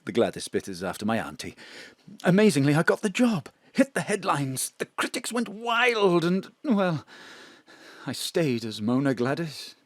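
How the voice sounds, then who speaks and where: A man's voice: a little high, sing-song, moderate pace, no room sound. An actor playing a frightened male drag performer, with a theatrical, swooping storytelling manner. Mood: confiding. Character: male drag performer Bruno, also Mona, frightened fugitive. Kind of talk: audio drama acting. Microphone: home studio mic.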